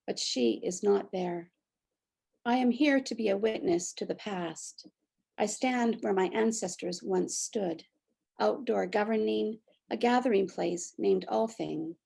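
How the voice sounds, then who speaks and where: A woman in her sixties from Canada, a poet giving a short spoken introduction and then reading her poem aloud in a reflective, measured way. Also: mid-range voice, gravelly, mellow, breathy edge. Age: 60s. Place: near the Fraser River, British Columbia.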